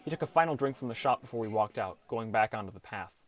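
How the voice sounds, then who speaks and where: A man's voice: fairly low pitch, expressive, fast talker. A man reading a scripted first-person story as an audiobook narrator.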